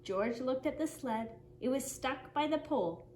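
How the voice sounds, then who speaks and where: A woman reading a children's picture book aloud as a story-time host, in an expressive, deliberate storytelling manner for young children. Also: sits high. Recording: roomy echo, faint background noise.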